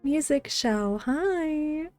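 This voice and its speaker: Slow, mellow-voiced woman chatting on stream. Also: high-pitched, expressive. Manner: friendly, greets viewers by name. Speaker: live streamer. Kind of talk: casual chat with viewers while gaming. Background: game music.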